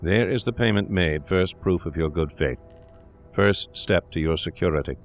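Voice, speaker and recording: A man's voice: low-pitched, moderate pace, soft background music. A man voicing a stern, calculating young doctor and murderer in a gothic audio drama, speaking with controlled, menacing insistence. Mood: persuasive, cold.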